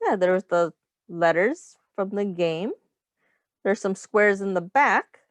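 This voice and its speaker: A woman teacher reading a picture book aloud to young children, explaining things in simple phrases and pausing to ask questions. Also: mid-range voice, animated, no room sound.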